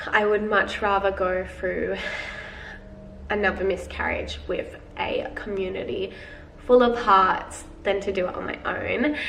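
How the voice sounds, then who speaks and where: A woman's voice: mid-range voice, vocal fry. A young woman with a head cold talking casually to her viewers in a video diary, chatty and full of fillers, calling them guys. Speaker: vlogger. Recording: roomy, slightly distant, faint noise.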